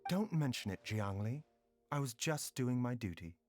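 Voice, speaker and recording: A composed, scholarly video game doctor, voiced by a man. He is polite and formal, with a melodic, varied intonation at a middle pitch. Soft music sits behind him. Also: expressive, normal speed, natural voice.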